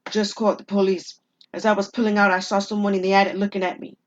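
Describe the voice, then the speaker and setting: A woman's voice: mid-range voice, level tone, quick pace, muffled recording, lightly acted. A woman performing an urgent, flustered husband spooked by an intruder in an audio drama. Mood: uneasy, placating.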